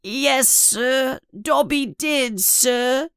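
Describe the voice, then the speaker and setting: A woman voicing a small, timid, tearful male house elf who talks in a squeaky, pleading, servile way. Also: drawn-out words, a bit bright, clear, resonant tone, no room sound, studio quality, exaggerated voice.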